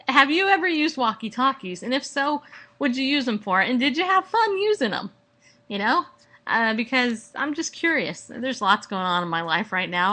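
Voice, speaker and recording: A woman hosting a casual solo podcast at home, chatting in a playful, rambling, animated way. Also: fairly high pitch. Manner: playful, rambling, acts out mock exchanges. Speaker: podcast host. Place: at home, indoors.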